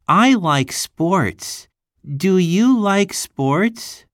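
A woman voicing a friendly young schoolboy from Japan, in a slow, carefully enunciated listening-test dialogue. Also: fairly low pitch, expressive, no room sound, studio quality.

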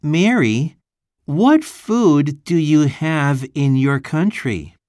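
A woman voicing a friendly slow-speaking schoolboy. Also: low voice, lively intonation, a little mellow, dry recording, studio quality, full character voice. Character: friendly young schoolboy from Japan. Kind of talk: slow, carefully enunciated test dialogue.

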